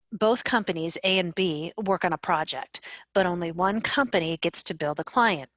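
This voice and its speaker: A woman with a fairly low voice narrates an instructional screencast. She explains things in a measured manner, as a software trainer would. The sound is dry, with very little room echo.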